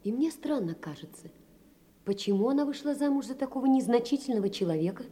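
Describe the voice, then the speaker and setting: An actress playing a poor, devoted, anxious young woman in a theatrical audio drama, telling her story in an expressive, intimate way. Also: a little high, quick pace, lightly acted. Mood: reflective. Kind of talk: theatrical audio drama, reading letters and memoirs.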